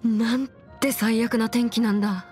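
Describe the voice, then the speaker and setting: A woman's voice: on the high side, fairly even intonation, normal speed, darkish tone, faint music behind, natural voice. A woman voicing a confident, bookish young female legal expert in a video game, speaking in a self-assured, formal, lecturing way. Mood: dismayed. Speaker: video game character voice actor. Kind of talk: scripted anime-style game character monologue.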